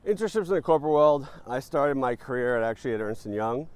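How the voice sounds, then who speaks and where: A startup founder answering interview questions in a relaxed, thoughtful way, with many ums and uhs. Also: male voice, medium pitch, fast, clear, resonant tone. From born in Panama, raised in New Jersey.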